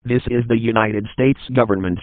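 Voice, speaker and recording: A man's voice: mid-range voice, steady tone, moderate pace, heavy background noise, lightly acted. A man playing a grim government announcer, giving a formal, grave final emergency broadcast. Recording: narrow, tinny emergency radio transmission.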